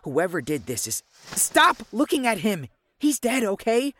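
A brash, impatient adventurer in a fantasy audio drama. The voice is mid-pitched and very animated, pushy and indignant with emphatic delivery. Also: measured pace. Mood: commanding, impatient.

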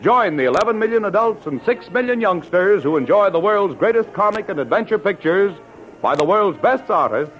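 A man's voice: high voice, lively intonation, normal speed, soft background music. A man narrating an old radio adventure serial as announcer, in a dramatic, declamatory scripted style. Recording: dull lo-fi old radio recording.

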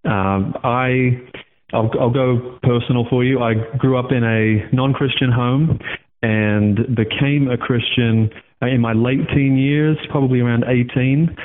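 A man's voice: mid-range voice, steady tone, a little slow. Guest, Australian man, 30s, chatty and rambling, phone-line sound. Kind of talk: casual interview over the phone.

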